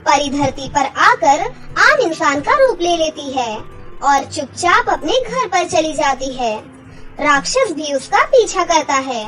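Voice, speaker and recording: A woman's voice: high-pitched, sing-song, takes it slowly, over music, rough recording. A woman narrating a scripted children's story as the storyteller.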